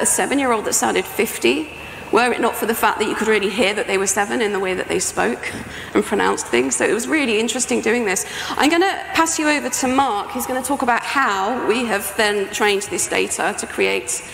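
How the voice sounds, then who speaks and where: A woman's voice: mid-range voice, normal speed, creaky, fairly bright tone. A woman in her forties giving an informal, anecdotal talk as a conference speaker. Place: indoor talk stage with projection screen. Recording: echoey hall with faint background noise.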